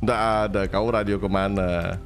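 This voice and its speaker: A man's voice: fairly low pitch, steady tone, normal speed, over music. A man roleplaying in a game, protesting and repeating his words. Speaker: streamer playing roleplay game. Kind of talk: improvised game roleplay banter. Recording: game audio with background noise.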